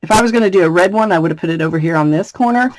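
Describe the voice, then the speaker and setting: A woman from the United States with a slightly raspy voice, chatting through a card-making tutorial and laughing between steps. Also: lowish voice, racing, a little mellow, no echo, low-quality recording. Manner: chatty, laughs often, thinks aloud. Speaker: craft demonstrator. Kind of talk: casual craft tutorial.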